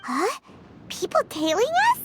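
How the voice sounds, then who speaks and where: Squeaky, excitable tiny fairy. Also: female voice, a little slow, heavily acted. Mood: surprised, eager. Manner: bubbly, childlike. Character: tiny childlike female fairy companion, excitable, chirpy, eager. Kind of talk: video game voice acting.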